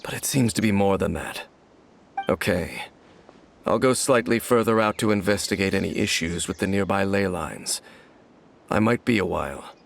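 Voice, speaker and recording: A man voicing a stoic, aloof immortal warrior in a video game, speaking in a formal, measured and dry way. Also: low voice, creaky, slight background noise. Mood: pensive.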